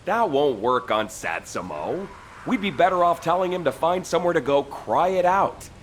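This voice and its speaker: An excitable, scheming squad mate from a video game. His slightly high voice swings expressively between dry sarcasm and animated planning. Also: normal speed, a little hiss or hum. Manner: wry, animated.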